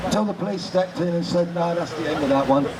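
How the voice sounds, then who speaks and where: A man's voice: fairly high pitch, fast. A man giving an informal, rousing activist speech at an outdoor rally, in short punchy phrases aimed at the audience. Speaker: activist speaker. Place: outdoors in a city square. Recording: outdoor rally with very loud crowd, traffic. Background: loud crowd and street noise.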